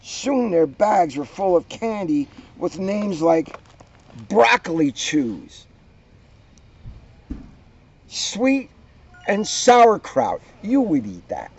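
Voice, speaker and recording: Slow, very lively male storyteller. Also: high voice, husky. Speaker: police officer reading a children's book. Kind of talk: read-aloud storytelling with casual asides. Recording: dull, muffled lo-fi sound.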